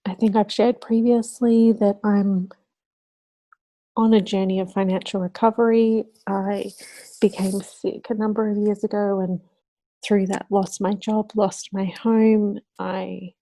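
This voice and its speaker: A woman from Australia hosting her budgeting channel, talking casually to camera with a slightly croaky voice. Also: medium pitch, flat delivery, measured pace, warm tone, dry recording.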